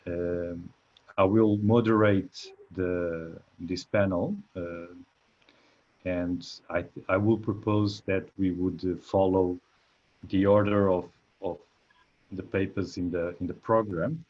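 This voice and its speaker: A man with a lowish voice moderates an academic panel over a video call, taking his time. He halts often, filling gaps with "uh" and restarting phrases. The call audio sounds dull and lo-fi. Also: fairly even intonation, slow. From Portugal. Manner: hesitant, many filler sounds and restarts. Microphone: computer mic on a video call.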